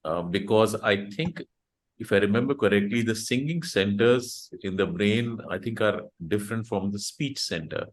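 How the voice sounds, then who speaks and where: A man's voice: fairly low pitch, normal speed. A man with an Indian accent hosting an online chat, talking casually and hesitantly with many fillers and restarts. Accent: Indian English. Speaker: host of an online support group chat. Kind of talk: casual conversational interview.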